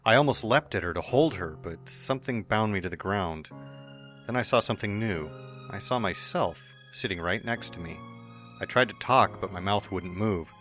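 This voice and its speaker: Male narrator, deep voice, earnest first-person reading over faint music. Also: moderate pace, a bit bright. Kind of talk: fan audiobook reading. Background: faint background music.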